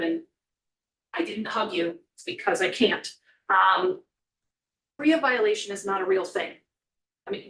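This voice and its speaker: A woman testifying at a committee hearing, speaking earnestly with many ums. Her voice has a gravelly fry. It sounds echoey and a bit distant from the mic. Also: mid-range voice, fairly bright tone. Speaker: corrections official testifying. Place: legislative hearing room.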